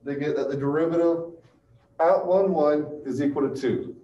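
A man in his thirties giving a math lecture in halting phrases, often checking in with his listeners, picked up by a distant camera mic in a classroom. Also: a little high, dark tone. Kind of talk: classroom calculus lecture, working through steps aloud. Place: small classroom with chalkboard and projector. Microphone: far camera. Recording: muffled, roomy, distant mic with hiss.

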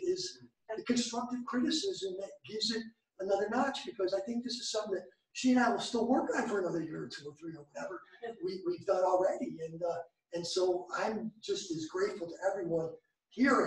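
A man chatting casually to a theatre audience, telling anecdotes from a stage, recorded from a distance. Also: very high-pitched. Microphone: distant camera. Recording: echoey theatre stage from far off.

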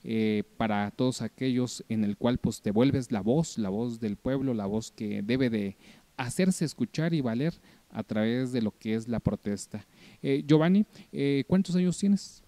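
Young host guy asking questions, warm low-ish voice, dry close sound. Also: unhurried, no echo. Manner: wordy, frequent fillers. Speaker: interviewer host. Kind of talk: interview questions. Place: small indoor room. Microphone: handheld mic.

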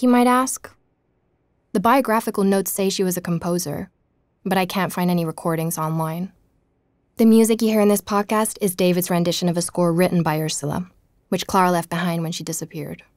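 A woman's voice: lowish voice, darkish tone, no echo, studio quality, lightly acted. An actress playing an earnest young woman journalist. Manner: earnest, intrigued, speaking to listeners. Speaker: podcast narrator. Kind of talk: scripted audio drama narration. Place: home bedroom.

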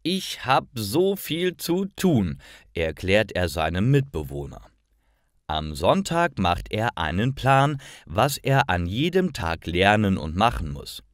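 Slow, very animated male storyteller. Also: low-pitched, no echo, clean studio sound. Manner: deliberate, expressive storytelling. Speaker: narrator of stories for German learners. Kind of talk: scripted narration, slow read-aloud story for learners.

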